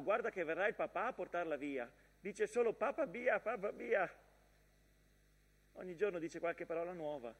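Tender wartime mother, echoing hall. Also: a little high. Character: tender young wife and mother writing wartime letters. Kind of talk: theatrical letter monologue. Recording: long reverberant theatre hall.

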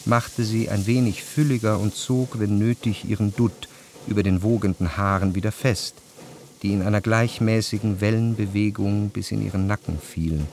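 An actor as a measured, low-voiced gentleman narrator. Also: fairly even intonation, slight background noise, natural voice. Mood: reflective, measured. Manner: measured, articulate reading of long sentences. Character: reflective gentleman narrator recalling his past. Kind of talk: literary radio drama narration.

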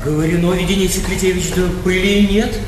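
A man acts a cowed, pleading servant in a stage comedy. The voice is high and whining, far from the microphone in an extremely echoey hall. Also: fairly even intonation, fairly quick, close to an everyday voice. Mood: frightened, protesting. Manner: whining, protesting. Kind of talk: theatrical stage comedy acting. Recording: very echoey hall, distant, faint noise.

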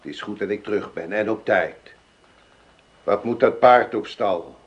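An actor playing a gruff, distrustful old farmer in a radio drama, talking in a blunt, grumbling way. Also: male voice, on the low side, unhurried, lightly acted. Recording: dull lo-fi radio play recording.

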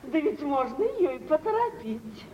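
A woman's voice: high voice, sing-song, normal speed, darkish tone. An actress playing a fawning middle-aged mother with effusive, deferential manners, in an old theatrical radio play. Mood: fawning welcome. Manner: effusive, deferential, exaggerated politeness. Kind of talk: 1940s theatrical radio acting. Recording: dull, noisy vintage radio play recording.

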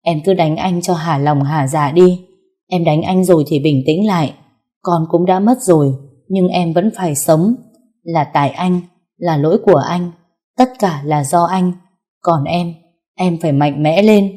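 A woman voicing a grieving young male police officer who speaks softly and slowly in a low, mellow voice. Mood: pleading.

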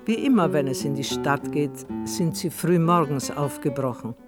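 A man with a fairly high-pitched voice narrates a radio play from a script. Plucked guitar music plays clearly behind him as he tells the story. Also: moderate pace.

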